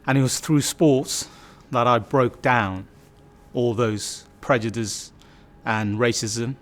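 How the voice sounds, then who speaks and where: A man's voice: mid-range voice, fairly even intonation, takes it slowly. A man in his fifties, from Bangladesh, telling his life story in a reflective way, with many fillers and restarts. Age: 50s. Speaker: community storyteller. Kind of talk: personal life story interview.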